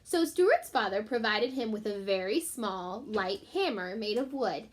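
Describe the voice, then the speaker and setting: A woman teacher reading a children's book aloud in an expressive way, speaking directly to young listeners. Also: medium pitch, unhurried. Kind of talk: children's book read-aloud with short explanatory asides.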